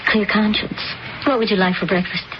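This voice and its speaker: An actress playing a gracious stepmother in a vintage radio drama, measured and polite. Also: mid-range voice, fairly even intonation, lightly acted. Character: poised stepmother, polite and conciliatory. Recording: dull old radio recording with faint hiss.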